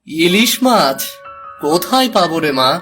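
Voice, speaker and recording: Man as poor fisherman, kind, plaintive, very animated intonation, cartoon, faint music. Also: high-pitched, a little slow. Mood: downhearted. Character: poor, downhearted, kind-hearted fisherman and father. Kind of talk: Bengali cartoon fairy-tale acting.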